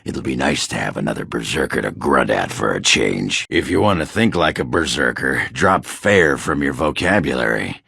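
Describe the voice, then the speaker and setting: Gruff, crude dwarf berserker for a fantasy game: extremely low, raspy, blunt and hard-drinking. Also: male voice, lively intonation, normal speed, few pauses, sharp tone, dry recording, clean studio sound, exaggerated voice. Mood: gruff, boastful. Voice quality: gravelly, raspy. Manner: crude, blunt, swearing, grunting. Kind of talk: video game character acting.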